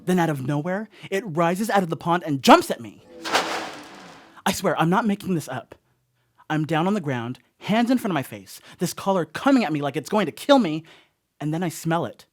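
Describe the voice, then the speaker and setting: Actor as rattled, rambling stoner dog sitter. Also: male voice, fairly high pitch, moderate pace, natural voice. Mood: alarmed, urgent. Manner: rambling, wry, animated asides. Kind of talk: radio play monologue, conversational first-person storytelling.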